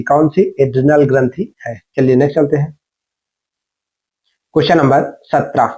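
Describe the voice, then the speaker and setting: A man's voice: medium pitch, warm tone. A man teaching like an exam coach, going through questions and answers in an instructional way and repeating them with rhetorical prompts. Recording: dull lo-fi microphone sound.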